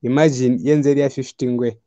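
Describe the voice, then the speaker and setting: A man's voice: medium pitch, steady tone, brisk, mellow, no room sound. A man calling in to a live show with political opinions, mixing English and a local language. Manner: mixes English and local language, repeats himself. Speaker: caller. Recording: dull lo-fi call audio.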